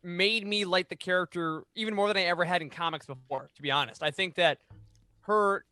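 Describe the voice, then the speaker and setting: A man's voice: high voice, a little fast, sharp tone, smooth, dry recording. A man in his thirties giving earnest, emphatic opinions in a casual podcast discussion, speaking close to a studio mic. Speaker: podcast co-host. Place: small home room, indoors. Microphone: boom-arm studio mic up close.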